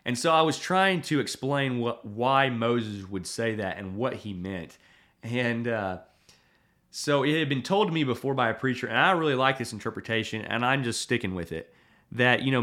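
A man's voice: mid-range voice, normal speed, fairly bright tone. A man, a preacher hosting his podcast, chatting and telling a self-deprecating story with lots of fillers. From Tennessee. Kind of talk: casual podcast chat and storytelling.

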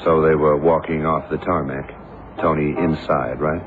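An actor playing a shrewd, commanding detective in a 1970s radio crime drama, speaking tersely and deliberately. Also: male voice, very deep voice, level tone. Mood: focused. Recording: noisy, tinny old radio broadcast.

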